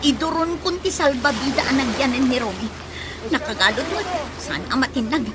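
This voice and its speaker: A woman's voice: high-pitched, lively intonation, fairly quick. A woman with an Ilocano accent playing a frightened young woman stranded at sea after a ferry sinks, panting and calling out. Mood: panicked. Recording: loud crowd and noise behind.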